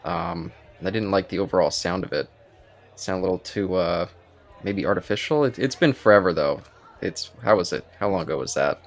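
Low, gravelly man chatting casually. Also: sing-song, brisk. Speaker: podcast co-host. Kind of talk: casual chat about music.

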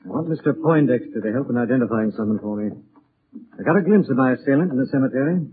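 An actor playing a composed, courteous gentleman sleuth in 1940s radio drama style. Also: mid-range voice, expressive, fast talker, breathy edge. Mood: brisk, polite. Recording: muffled, tinny 1940s radio recording.

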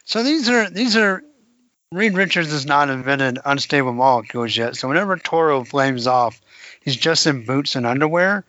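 A man co-hosting a podcast, chatting casually about comics, animated and laughing often. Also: fairly high pitch, moderate pace, no echo, highs cut off. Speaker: podcast co-host.

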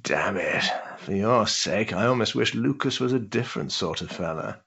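An actor playing a worldly older duke, an amused, teasing schemer with an urbane, roguish manner in a period stage drama. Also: male voice, lowish voice, normal speed, creaky, breathy edge, lo-fi sound, full character voice. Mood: rueful. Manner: urbane, roguish, patronising flattery with chuckles.

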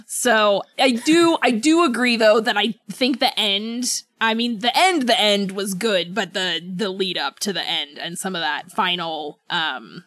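A woman with a medium-pitched voice chats casually as a podcast co-host. She talks through books in a conversational way, softening and qualifying her opinions. The sound is dry, with little room around it. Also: no room sound. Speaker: book club podcast co-host. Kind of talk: casual book discussion chat.

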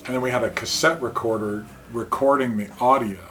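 A man's voice: mid-range voice, steady tone, fairly quick, crowd noise. A man and father of four, telling a personal story in a casual, anecdotal way.

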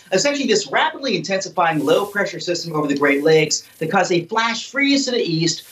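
A man's voice: high-pitched, a bit bright, low-quality recording. A man explaining things in an interview as an expert meteorologist.

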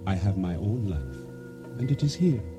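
Low-voiced, wistful island boatman. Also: sing-song, measured pace. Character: reflective island boatman narrating his survival, wistful, humble. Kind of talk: dramatic first-person radio play narration. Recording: radio drama with music underneath.

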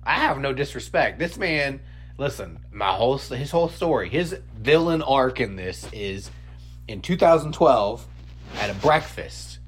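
A man's voice: medium pitch, a little slow, husky. A man co-hosting a comedy podcast, telling stories in casual, profane banter and animatedly acting out quotes. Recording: noisy with a steady hum.

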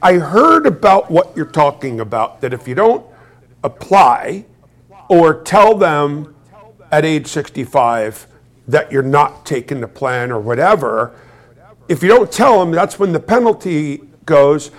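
A man hosting a casual finance show, asking his guest questions. His voice sits fairly high for a man, and he often restarts his sentences and jokes as he goes. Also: big pitch swings, a little slow. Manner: inquisitive, jokey, restarts often. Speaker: host. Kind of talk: conversational interview.

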